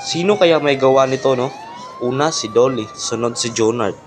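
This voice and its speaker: A man playing an excitable, gossipy neighbourhood buddy in an amateur radio drama. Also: medium pitch, normal speed, over loud music, close to an everyday voice. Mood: musing.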